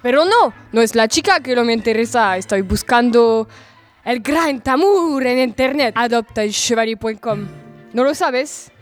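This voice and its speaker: A teenage woman playing a vain, excitable older knight in a student audio drama, with a pompous, self-admiring manner. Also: on the high side, normal speed, faint music behind, close to an everyday voice. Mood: insistent.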